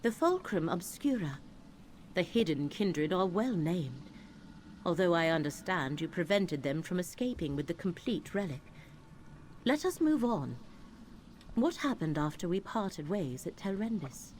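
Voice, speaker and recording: A woman voicing a solemn, cryptic emissary in a fantasy video game, speaking in a formal, measured and deliberate way. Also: fairly low pitch, very animated, a little mellow, a little hiss or hum. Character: solemn, cryptic female emissary of a lore-keeping power.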